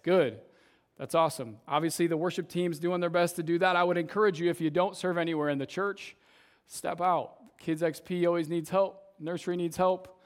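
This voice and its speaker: A young adult man, a youth pastor, preaching a casual sermon to a church congregation, his voice slightly raspy from shouting, often ending sentences with "right?". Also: a little high, steady tone, fairly quick. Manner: conversational, tags sentences with "right?". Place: church service, in front of a congregation.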